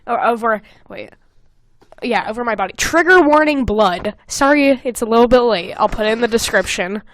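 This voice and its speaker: A woman's voice: fairly high pitch, animated, moderate pace, no echo. A young adult woman hosting a podcast, casually reading old fan fiction aloud with giggly, sweary comic asides. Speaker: podcast host.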